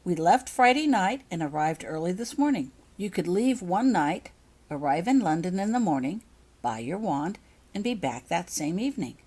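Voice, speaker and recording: A woman's voice: on the low side, very animated, moderate pace, a little mellow, slightly rough. A woman voicing an eager, helpful young schoolgirl in a storybook, earnest in manner. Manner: piping up, earnest. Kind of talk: storybook character voice.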